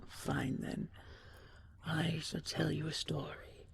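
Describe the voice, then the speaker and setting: A woman playing an ancient dragon queen in an intimate sleep roleplay, tender, regal and wistful, speaking softly and breathily in slow, stately phrases, often half-whispering. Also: sits low, vocal fry, background noise, exaggerated voice. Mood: resigned, tender. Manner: slow, measured, stately, with lingering pauses.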